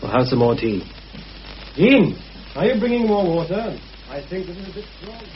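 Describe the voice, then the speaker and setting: An actor playing a cool, bitterly sardonic former prisoner of war in a British radio drama, blunt and dry. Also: male voice, sits high, big pitch swings, moderate pace. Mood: calm. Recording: muffled, hissy old radio drama recording.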